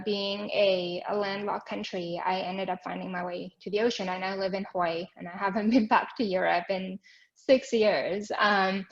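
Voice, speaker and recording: A woman's voice: medium pitch, lo-fi sound. A young woman from Switzerland, guest on a webinar, telling her story casually with many ums and restarts over a video call. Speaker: guest, ocean art nonprofit.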